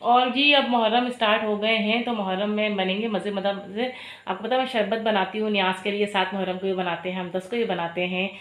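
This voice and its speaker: A woman's voice: mid-range voice, steady tone, brisk, fairly bright tone. A woman vlogging at home in her kitchen, chatty and talking viewers through each step, with a sore throat. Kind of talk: casual cooking vlog narration.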